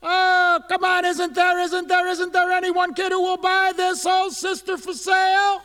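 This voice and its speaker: A performer voicing a kid who hollers like an auctioneer selling off a pesky sister, in a drawn-out barking pitch. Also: completely flat delivery, sharp tone, long reverb tail, exaggerated voice. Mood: pleading.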